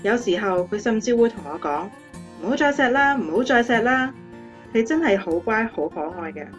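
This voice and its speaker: An actress playing an adoring young fiancée in a radio drama interview, earnest and affectionate. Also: female voice, on the high side, animated, normal speed, lo-fi sound, close to an everyday voice. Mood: loving. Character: adoring, happy young fiancée of a motivational speaker. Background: guitar music.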